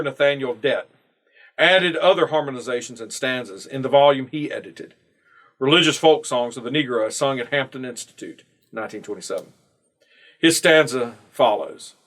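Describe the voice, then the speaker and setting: Male reader, mid-range voice, for formal scripted devotional narration; measured, phrase-by-phrase delivery. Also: medium pitch, a bit bright. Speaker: church member reading a devotional. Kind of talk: scripted narration, read aloud.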